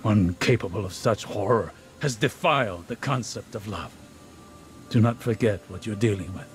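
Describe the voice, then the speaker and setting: An actor playing a solemn, reflective man devoted to his love, speaking gravely and eloquently in scripted game dialogue. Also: lowish voice, normal speed, soft background music, close to an everyday voice. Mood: stern.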